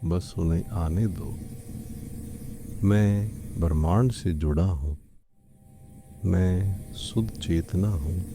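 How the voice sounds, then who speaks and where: A man narrating a guided meditation in a calm, unhurried, gently instructive way, with long pauses between phrases. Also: deep voice, noisy background.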